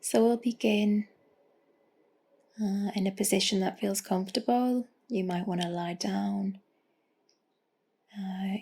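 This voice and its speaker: Very slow, soft-voiced woman guiding meditation. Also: mid-range voice, vocal fry, husky. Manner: gentle, unhurried, with long pauses. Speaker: meditation guide. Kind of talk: guided meditation, spoken softly and soothingly. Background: music.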